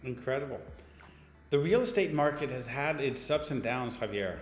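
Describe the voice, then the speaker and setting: A man's voice: medium pitch, animated, normal speed. Male interview host asking polite, formal questions; roomy sound with a long reverb tail and faint music.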